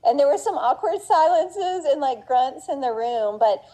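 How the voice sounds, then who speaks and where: Woman, middle-aged, business owner, conference talk, chatty, passionate, many fillers, mellow tone. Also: high voice, lively intonation, normal speed. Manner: earnest and passionate.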